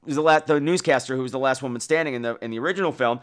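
A man hosting a podcast, giving casual, opinionated and profane film commentary in an animated way. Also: mid-range voice, very fast, clear, resonant tone. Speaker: podcast host.

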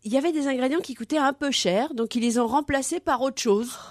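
A woman's voice: high-pitched, brisk, a little mellow, no echo, studio quality. A woman on a radio talk panel, speaking earnestly and with indignation. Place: radio studio.

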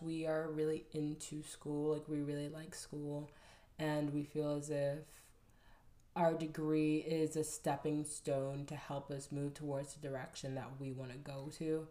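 A woman's voice: sits low, darkish tone. Young woman host in a roomy echo. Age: young adult. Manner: teasing, playful. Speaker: podcast host. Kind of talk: casual chat.

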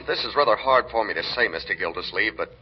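Actor as a low-voiced sheepish young suitor. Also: male voice, quick pace, lightly acted. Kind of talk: 1940s radio comedy acting. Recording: muffled, noisy old radio recording.

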